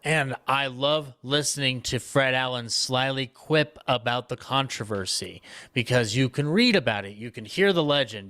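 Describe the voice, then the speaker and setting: Mid-pitched man, animated panel storyteller. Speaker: panelist, old-time radio fan. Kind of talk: casual panel discussion.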